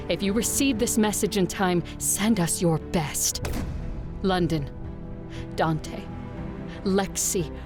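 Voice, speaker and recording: An actress playing a proud, weary vampire ruler who narrates her story gravely and with measured care in an audio drama. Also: lowish voice, natural voice. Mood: solemn, urgent. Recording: music under the voice. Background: music.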